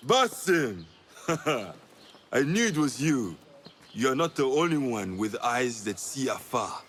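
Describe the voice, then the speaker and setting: An actor playing a seasoned, genial spymaster in a video game, musing and measured. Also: male voice, mid-range voice, sing-song, fairly bright tone, a little hiss or hum. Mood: knowing, musing. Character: knowing, genial male spymaster, seasoned guild leader. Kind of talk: dramatic game cutscene acting.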